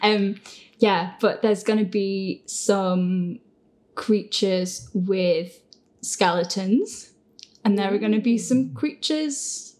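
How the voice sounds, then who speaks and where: A woman's voice: mid-range voice, slow pace, a little mellow. A woman hosting a casual question and answer chat, giggly, rambling and self-deprecating. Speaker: podcast host, creator and voice actor of the show.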